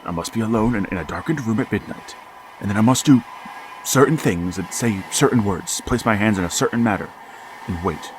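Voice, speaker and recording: A man's voice: on the low side, fairly quick, noisy background, close to an everyday voice. An actor playing a grieving, middle-aged father who narrates and pleads desperately to reach his dead son, in a vintage-style radio drama. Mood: hushed. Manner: anguished, pleading, rising to frantic outbursts. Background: music.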